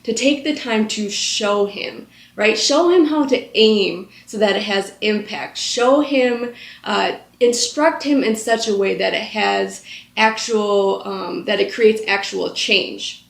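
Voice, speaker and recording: A woman's voice: mid-range voice, slow, vocal fry. A woman in her thirties hosting a Bible study, reading a psalm aloud and then explaining it in an earnest, conversational way with frequent filler words. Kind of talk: scripture reading and devotional teaching. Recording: echoey room.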